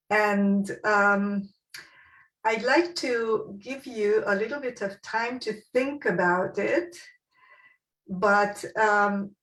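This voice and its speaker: A woman moderating an academic webinar in a polite, deliberate way, heard through a roomy, distant microphone. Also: medium pitch.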